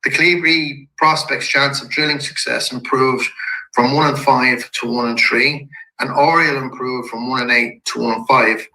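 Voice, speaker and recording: Man, slightly croaky from a cold, gravelly, very bright and forceful tone, measured businesslike delivery, echoey room. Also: mid-range voice, steady tone. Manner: measured and businesslike, with occasional restarts. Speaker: company executive presenting to shareholders. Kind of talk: investor presentation walking through slides.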